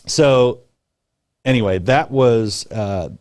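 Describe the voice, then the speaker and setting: A man's voice: medium pitch, takes it slowly, darkish tone. A middle-aged man hosting a podcast, chatting in a playful, rambling way with restarts. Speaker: podcast host. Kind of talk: casual joking podcast chat.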